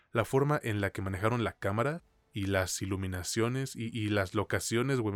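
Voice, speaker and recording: A man's voice: fairly low pitch, dry recording. A Mexican man co-hosting a podcast, giving film opinions in casual talk peppered with slang. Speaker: podcast co-host. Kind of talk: casual film review chat.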